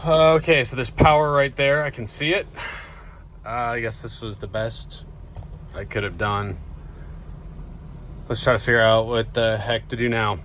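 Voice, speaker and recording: A man's voice: steady tone, a little slow, pauses often, fairly bright tone. An American man giving casual, laid-back, matter-of-fact vlog commentary from his van. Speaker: vlogger. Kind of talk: casual running vlog commentary while driving. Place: inside and around an old van. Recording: very noisy car interior, engine rumble.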